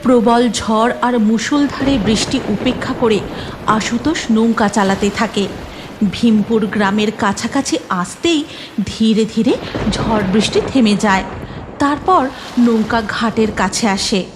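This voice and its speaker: A woman's voice: on the high side, measured pace, noisy background. A woman narrating a scripted cartoon story as its storyteller.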